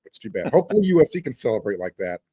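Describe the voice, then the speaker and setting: A man's voice: mid-range voice, very animated, rapid-fire, warm tone, slightly rough. An American man hosting a sports podcast, chatting casually and emphatically, repeating phrases for stress. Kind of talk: casual sports interview chat.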